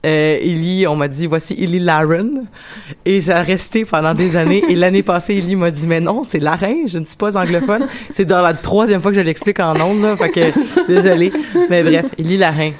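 A woman's voice: lowish voice, normal speed. A woman with a Quebec French accent hosting a casual radio show, joking and rambling with lots of restarts. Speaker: host.

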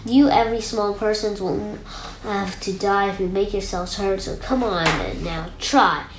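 A woman's voice: medium pitch, a little slow, close to an everyday voice. A woman voicing an earnest, kindly, loyal male elephant for a storybook, pleading and urging. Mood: urgent, encouraging. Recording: roomy echo with clear background noise.

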